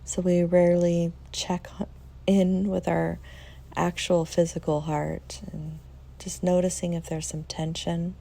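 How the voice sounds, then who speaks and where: Woman, meditation guide, very slow pace, soft and soothing, flat intonation, long pauses. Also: lowish voice, creaky.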